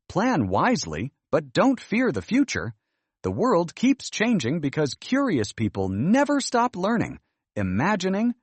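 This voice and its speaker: A man reads a children's story with big, swooping intonation. His voice sits a little high and has a husky grain. The delivery is earnest and scripted, recorded dry and close. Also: no room sound.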